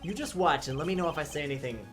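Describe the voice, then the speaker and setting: A woman's voice: low voice, racing, natural voice. A woman voicing a cocky, sarcastic young male defense attorney in a theatrical fan dub of a visual novel game. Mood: bold, determined. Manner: sarcastic, grandstanding, swinging into asides. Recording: voice over game music. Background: game music.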